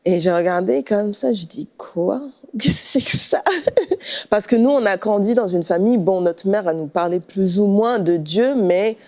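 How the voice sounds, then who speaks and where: A middle-aged woman from the Paris region with a French accent, telling her personal testimony conversationally, often pausing to rephrase. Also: medium pitch, mellow. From Paris region, France. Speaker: pastor, guest giving testimony.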